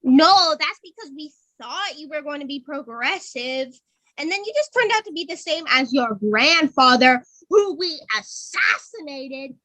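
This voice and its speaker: A woman's voice: high-pitched, lively intonation, unhurried, sharp tone, exaggerated voice, low-quality recording. A woman voicing a resentful, blunt peasant who heckles and jeers in a comic puppet-show skit. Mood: jeering. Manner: blunt, jeering.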